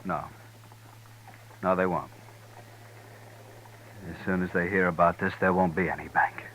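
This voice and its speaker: A fretful deep-voiced banker. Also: male voice, flat delivery, unhurried, fairly bright tone. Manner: pleading and fretful. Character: anxious, ruined small-town male banker. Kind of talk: 1950s radio drama acting. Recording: noisy old radio broadcast.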